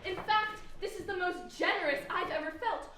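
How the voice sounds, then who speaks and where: A woman's voice: very high voice, normal speed. A young woman playing a pompous, gleefully greedy birthday child in a stage comedy, with theatrical, declamatory delivery. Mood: smug. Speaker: amateur stage actor. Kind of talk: mock-Greek-tragedy stage comedy. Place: indoor stage, recorded from afar. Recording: echoey hall, distant mic, noisy.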